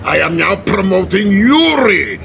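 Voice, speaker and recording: A grand, excitable old Soviet leader in a high, forceful voice. He speaks slowly and haltingly, full of game-cutscene ceremony. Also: creaky, faint music behind, heavily acted. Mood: ceremonious. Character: pompous older Soviet premier, grandly proud and excitable. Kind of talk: video game cutscene acting.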